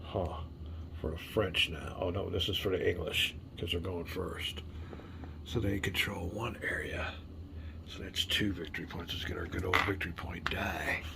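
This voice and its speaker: Deep gravelly man, chatty board gamer. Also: steady tone, moderate pace, noisy background. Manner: rambling, chatty, mutters rules half under his breath. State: a little hoarse. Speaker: board game video host. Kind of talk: casual solo board game playthrough. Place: home room with game tables.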